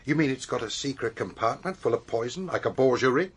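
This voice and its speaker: Brisk, husky police superintendent. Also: male voice, medium pitch, lively intonation. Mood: brisk, probing. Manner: brisk, official, questioning. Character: businesslike male police superintendent, middle-aged, mildly impatient. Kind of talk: radio drama acting.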